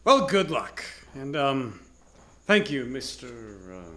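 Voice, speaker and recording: A man's voice: mid-range voice, very animated, slow, pushed and bright, full character voice. An actor playing an anxious, well-off married man in a radio detective play, stiff and agitated in manner. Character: flustered, anxious well-off male client, a married engineer. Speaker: actor in live radio theatre. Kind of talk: 1940s radio drama acting. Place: on stage at a live theatre event.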